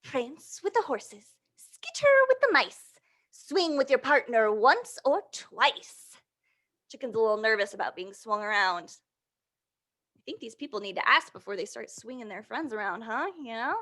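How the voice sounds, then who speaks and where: A woman hosting a storytime for young children, reading and leading action rhymes in a playful, encouraging, animated way. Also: a little high, moderate pace. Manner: playful, encouraging, checks in with listeners.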